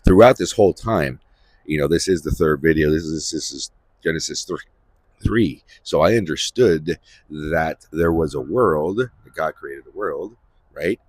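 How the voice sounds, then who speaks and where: A man hosting a Bible-reading series, giving informal commentary in a halting, repetitive way and often checking for agreement. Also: a bass voice, a little mellow, no echo.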